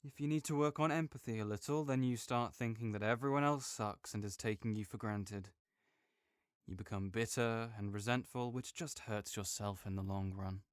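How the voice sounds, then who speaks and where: A man's voice: fairly low pitch, normal speed, smooth, no room sound, studio quality. A young man in an audio roleplay, talking as a supportive friend in a playful, reassuring way.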